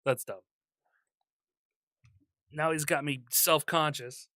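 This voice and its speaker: A man's voice: fairly high pitch, fairly even intonation, takes it slowly, bright, forceful tone, no room sound, clean studio sound. A man co-hosting a podcast, teasing his co-host, explaining things and laughing often. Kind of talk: casual chat.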